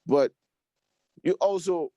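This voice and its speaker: A man's voice: a little high, takes it slowly, mellow, no echo, clean studio sound. A man, a musician guest, talking casually and repeating himself, often saying "you see". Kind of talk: casual conversation.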